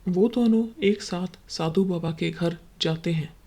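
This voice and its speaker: A woman's voice: fairly low pitch, measured pace, dark tone, breathy edge. A woman working as a storyteller, delivering scripted dramatic narration.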